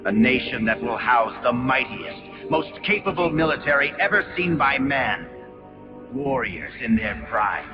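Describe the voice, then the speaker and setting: Visionary military commander for a game, mid-pitched, formal orator's delivery, lo-fi with loud score. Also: male voice, big pitch swings. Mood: rousing, solemn. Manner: solemn, measured, formal oratory. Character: charismatic, composed male military commander with grand visions. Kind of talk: scripted video game voice acting. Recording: muffled lo-fi game audio under loud music.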